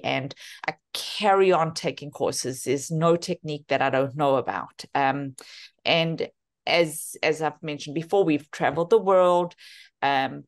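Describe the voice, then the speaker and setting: A woman in her fifties with a South African accent, speaking earnestly as a therapist introducing her work in a webinar. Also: low-pitched. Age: 50s. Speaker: physiotherapist and therapy program co-founder. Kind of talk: webinar talk, self-introduction.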